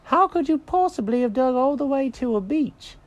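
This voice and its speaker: Fussy male cat for a children's tale, played by a narrator: high-pitched, prim, fretful, very expressive. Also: normal speed. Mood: wistful, puzzled. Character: fussy, sensitive, polite male cat named Jenkins.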